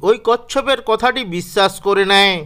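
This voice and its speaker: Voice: medium pitch, moderate pace, bright, forceful tone, clear, resonant tone. A narrator reading a scripted children's cartoon story.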